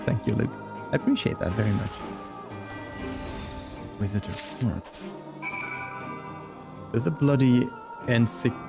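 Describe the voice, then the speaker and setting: Friendly male streamer chatting casually with viewers, game music underneath. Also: on the low side. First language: Flemish. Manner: chatty and friendly, greets chat by name, laughs often. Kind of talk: casual live-stream chat.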